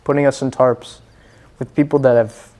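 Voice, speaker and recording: A man's voice: mid-range voice, flat delivery, normal speed, mellow. A man who grew up in Singapore, talking as an interview guest in an earnest, rambling way with lots of fillers. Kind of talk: casual interview chat.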